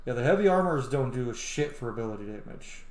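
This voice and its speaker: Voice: mid-range voice, animated, quick pace. A streamer giving casual gameplay commentary, rambling and thinking aloud. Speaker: streamer playing a role-playing game. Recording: roomy echo, faint background noise.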